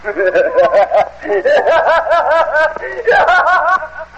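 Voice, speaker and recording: An actor playing a cruel, vengeful husband in an old radio horror drama, with theatrical, mocking, cackling delivery. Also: male voice, very high voice, very animated, very slow, a bit bright, heavily acted. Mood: gloating, maniacal. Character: cruel gloating husband, mad with jealous vengeance. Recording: muffled, hissy old radio drama recording.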